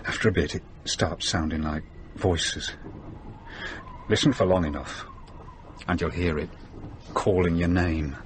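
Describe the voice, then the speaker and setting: An actor playing a big, gruff, teasing van driver with a wry, matey manner in a radio drama. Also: male voice, sits low, fairly even intonation, unhurried, pauses often. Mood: eerie, relishing. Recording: noisy radio drama recording.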